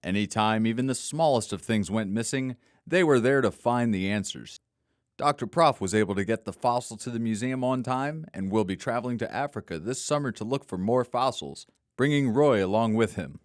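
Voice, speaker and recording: A man narrates a scripted mystery serial. His voice has medium pitch and is recorded close and dry, with no room sound. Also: normal speed, no echo.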